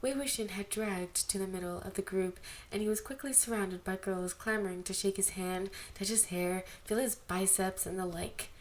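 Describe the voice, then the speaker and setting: A woman narrating fan fiction aloud in an expressive, amateur storytelling style. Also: medium pitch, normal speed. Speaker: amateur podfic reader. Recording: amateur home recording, background noise.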